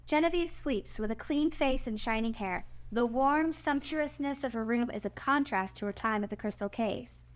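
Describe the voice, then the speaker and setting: A woman's voice: fairly high pitch, normal speed, hardly any pauses. A woman narrator reading out the stage directions and scene headings of a screenplay.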